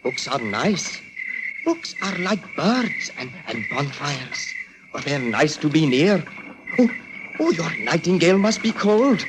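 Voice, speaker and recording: A very noisy forties radio play recording of a gentle simpleton. His voice is high for a man. He speaks in a wondering, hesitant singsong, innocent and easily pleased as a child. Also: very animated, slow pace. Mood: wistful. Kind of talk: 1940s radio acting.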